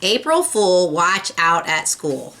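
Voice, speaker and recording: A woman's voice: fairly low pitch, sing-song, slow pace, sharp tone, slight background noise. A woman reading a children's storybook aloud, expressively and speaking directly to young listeners. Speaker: storybook reader.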